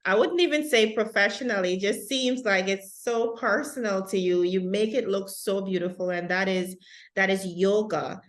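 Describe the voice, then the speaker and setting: A woman's voice: medium pitch, moderate pace. A woman hosting an interview, speaking with effusive praise and rambling restarts. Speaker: host.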